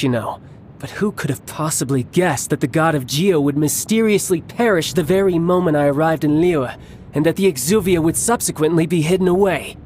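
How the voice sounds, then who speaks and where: An actor playing a cocky young villain warrior in a video game, theatrical and playfully menacing, relishing battle with taunts. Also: male voice, a little high, darkish tone, a little hiss or hum, lightly acted. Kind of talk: English video game voice acting.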